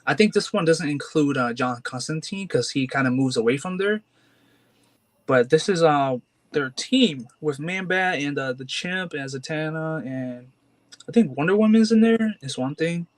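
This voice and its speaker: A man's voice: on the high side, animated, moderate pace. A man in his twenties, a comic collector, talking casually and hesitantly with many fillers and restarts. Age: 20s. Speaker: guest collector. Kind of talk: casual chat.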